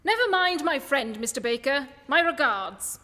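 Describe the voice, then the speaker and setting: A shrewd British gentleman detective voiced by a woman, high and very lively in pitch. He speaks with polite precision and confidence in a radio play, with a long reverb around the voice. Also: moderate pace, exaggerated voice. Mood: self-assured. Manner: polite, precise, self-assured.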